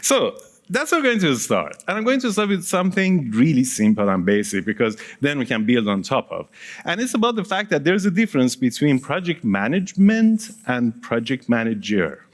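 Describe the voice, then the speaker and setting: A man's voice: medium pitch, big pitch swings, moderate pace. A man whose first language is Persian, giving a conference talk in a casual, engaging way and polling the audience with questions. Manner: engaging, quizzes the audience and counts hands; casual, with small laughs and asides. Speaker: conference speaker. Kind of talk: conference presentation.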